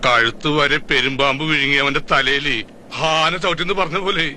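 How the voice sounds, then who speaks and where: An actor playing a mocking, sarcastic friend in a comedy clip. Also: male voice, fairly high pitch, normal speed, a loud crowd, lightly acted.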